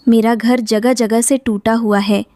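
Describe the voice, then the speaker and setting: Woman acting cartoon mother bird, high-ish mellow voice, gentle, caring, worried, polite, earnest. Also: flat delivery, a little slow, no room sound, close to an everyday voice. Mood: pleading, worried. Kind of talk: Hindi cartoon dubbing.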